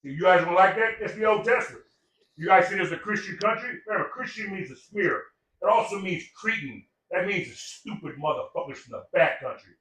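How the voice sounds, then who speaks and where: A man's voice: sits high, lively intonation, fairly quick, fairly bright tone, recorded from a distance. Profane, preachy older man in an echoey room. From Samoan heritage. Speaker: podcast guest. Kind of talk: casual chat.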